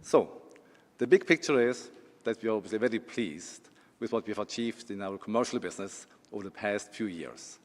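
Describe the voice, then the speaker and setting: A man's voice: lowish voice, expressive, brisk. A man with a Swiss accent giving a corporate presentation as an insurance executive, with some dry humor and a few fillers. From Switzerland. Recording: long hall reverb.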